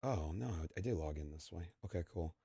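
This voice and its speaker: Deep-voiced young man streaming, fading voice. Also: animated, fairly quick, warm tone, husky. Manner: rambling, stammering with many fillers. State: losing his voice, clearing his throat. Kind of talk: casual live-stream chat.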